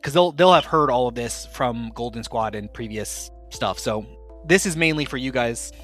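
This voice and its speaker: A man's voice: mid-range voice, normal speed, soft background music. A man casually hosting and running an actual-play tabletop game podcast, with a playful, jokey manner. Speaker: podcast host and game master.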